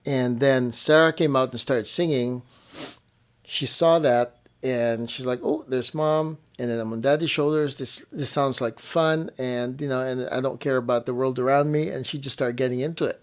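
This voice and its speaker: A young man with an average-pitched voice shares stories in a casual, conversational way. He talks like a parent co-hosting a family show. He often circles back to repeat or rephrase what he just said for emphasis. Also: steady tone, moderate pace, clear, resonant tone.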